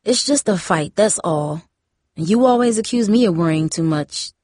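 A woman's voice: fairly low pitch, expressive, moderate pace, darkish tone, dry recording. Worried peacemaker teen, voiced by a female narrator: earnest, pleading, audiobook drama, dry recording. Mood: soothing. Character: worried teenage girl, peacemaking sister.

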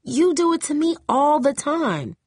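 A woman's voice: mid-range voice, lively intonation, brisk, dry recording, clean studio sound, voice pushed up. A woman voicing a bold, defiant teenage cheerleader in a story, outspoken and challenging. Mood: hurt, defiant.

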